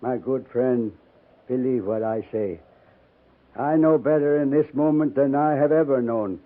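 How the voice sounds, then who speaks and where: A man's voice: mid-range voice, close to an everyday voice. Tinny old-radio dying old chief, played by a man. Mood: grave, reflective. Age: older. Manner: solemn, measured, formal poetic phrasing. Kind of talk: 1950s radio drama acting. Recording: narrow tinny 1950s radio recording.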